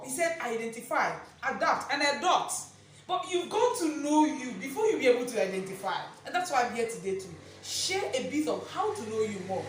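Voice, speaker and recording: A woman delivers a motivational teaching talk in a high, loud, projecting voice. She is emphatic, repeats key phrases and checks in with rhetorical questions. The recording is very reverberant and picked up from a distance. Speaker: motivational speaker and presenter. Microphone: distant camera mic, no microphone on speaker. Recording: echoey room, distant mic, faint noise.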